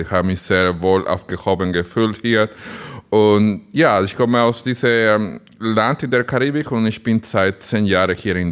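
Low-voiced man with a Spanish accent. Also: smooth. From Dominican Republic. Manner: slow, searching for words, earnest. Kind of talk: podcast interview.

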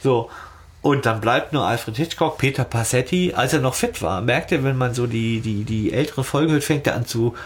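A man with a husky voice at a middle pitch hosts a casual discussion. He thinks aloud and wanders from point to point as he talks. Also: moderate pace. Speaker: podcast host. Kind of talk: casual chat.

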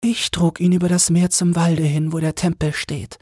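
A young mermaid from a storybook, played by a man, high and very breathy. The girl is gentle and lovelorn, speaking with tender, wistful devotion in a close, dry sound. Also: flat delivery, normal speed, no room sound. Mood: wistful sorrow. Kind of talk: fairy tale audiobook character voice.

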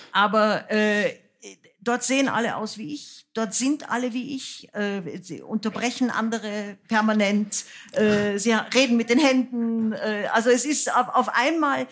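A woman raised in the United States, a singer and author, talking frankly and wryly with many fillers as a guest on a stage before an audience. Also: fairly high pitch. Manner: lively, frank, self-mocking, many fillers. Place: cultural centre stage with audience.

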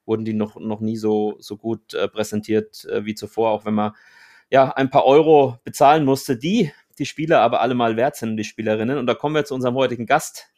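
A man hosts a podcast in an even, mid-range voice that sits right in the middle for a man. He talks in long explanatory stretches, pausing often on filler sounds as he gathers his thoughts. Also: medium pitch. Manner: explanatory, with frequent filler pauses. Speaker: podcast host. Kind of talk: podcast conversation.

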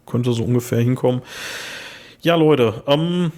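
A slightly hoarse young man co-hosting a casual podcast, rambling with lots of fillers and restarts. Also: mid-range voice, a little slow, a little mellow. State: a little hoarse. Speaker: podcast co-host. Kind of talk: casual chat.

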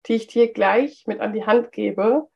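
Chatty woman, slightly hoarse with a cold. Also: a little high, a little slow, muffled recording. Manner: chatty, with frequent "ähm" fillers. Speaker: podcast host. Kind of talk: solo business podcast talk.

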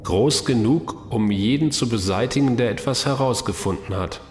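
A man's voice: medium pitch, normal speed, a little hiss or hum, close to an everyday voice. Man playing grim fugitive leader, echoing hall. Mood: urgent, tense. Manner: clipped urgent commands. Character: tense man leading fugitives, grimly commanding. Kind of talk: amateur science-fiction audio drama acting. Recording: echoey hall reverb, loud music.

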